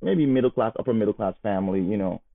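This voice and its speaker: A man in his thirties, a guest telling his life story in a casual, animated way. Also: lowish voice, quick pace, gravelly. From Nigeria. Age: 30s. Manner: animated, repeats words as he goes. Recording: phone-like narrow sound.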